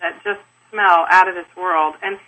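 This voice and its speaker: A woman's voice: fairly low pitch, fairly even intonation, a little slow, pushed and bright, low-quality recording. A woman hosting an interview, asking long, rambling questions full of fillers. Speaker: interview host.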